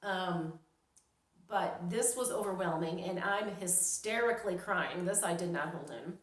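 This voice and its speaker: A woman's voice: fairly low pitch, unhurried. Woman telling a personal story, echoey room. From New York, United States. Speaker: storyteller, vlogger. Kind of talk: casual personal storytelling to camera. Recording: roomy, echoing sound.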